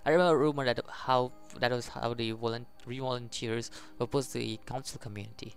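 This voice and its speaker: A man hosting a gaming video, reading a visual novel aloud in a halting way, with casual commentary. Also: mid-range voice, steady tone, faint music behind. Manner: halting, stumbles over the text.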